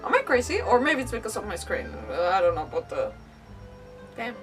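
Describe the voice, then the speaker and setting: A woman livestreams a game with casual, meandering commentary. Her voice is fairly high and picked up a little away from the mic, with faint music playing behind her. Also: very animated, brisk, recorded from a distance. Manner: rambling, hesitant, mild substitute swearwords. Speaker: game streamer.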